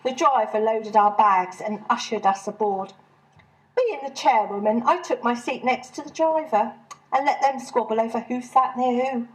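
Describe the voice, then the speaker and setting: Higher-voiced woman reading a humorous story. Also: normal speed, rough recording. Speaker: author reading own short story. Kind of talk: humorous scripted first-person story narration.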